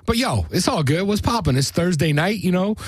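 A man's voice: sits high, animated, a little fast, breathy edge, dry recording, studio quality. A man hosting a casual podcast, joking crudely and laughing often into a desk mic. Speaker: podcast host. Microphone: desk mic at computer.

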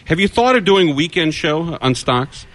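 A man's voice: fairly high pitch, lively intonation, moderate pace, fairly bright tone, rough recording. Middle-aged man, rambling radio host. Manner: hesitant, rambling, self-deprecating. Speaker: radio talk show host. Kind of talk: casual joking radio chat.

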